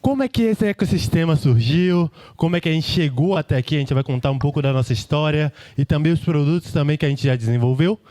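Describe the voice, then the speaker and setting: A man's voice: a little high, fast, few pauses, mellow, no echo. A Brazilian man giving an informal, jokey tech meetup presentation in colloquial speech full of tag questions. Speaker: engineering team speaker.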